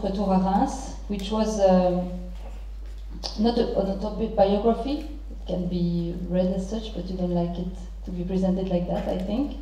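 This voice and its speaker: A middle-aged woman moderating a panel, introducing guests and posing questions formally in halting, deliberate phrases. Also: mid-range voice, dark tone, slight background noise. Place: large theatre stage. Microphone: handheld microphone through hall speakers. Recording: very echoey theatre PA, distant, muffled.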